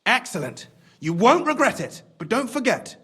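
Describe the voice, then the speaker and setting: High-pitched, excitable male schemer. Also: moderate pace, husky, full character voice. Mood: scheming. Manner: exclamatory, conspiratorial, wordy and theatrical. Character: cunning, excitable scheming male mine official, smugly pragmatic. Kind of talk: video game cutscene acting.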